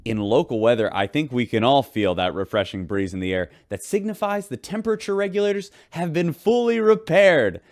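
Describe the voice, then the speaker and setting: An actor playing a chirpy radio host aboard a spaceship, with a polished, folksy announcer delivery in a scripted broadcast. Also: male voice, mid-range voice, lively intonation, brisk, few pauses, a little mellow, no room sound, natural voice. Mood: upbeat, newsy.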